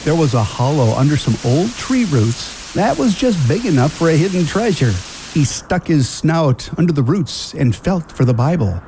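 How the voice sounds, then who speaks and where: A man's voice: fairly high pitch, big pitch swings, normal speed, warm tone, a little hiss or hum, rough recording. A man narrating a scripted family radio drama in a storybook style.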